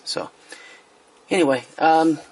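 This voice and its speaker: Gravelly, husky man reviewing a gadget. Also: fairly high pitch, takes it slowly, darkish tone, rough recording. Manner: hesitant, lots of fillers and restarts. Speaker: product reviewer. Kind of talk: casual product review.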